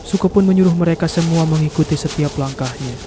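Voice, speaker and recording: A man's voice: a little high, quick pace. A man narrating a scripted horror story in a dramatic, solemn storytelling manner. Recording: noisy background ambience.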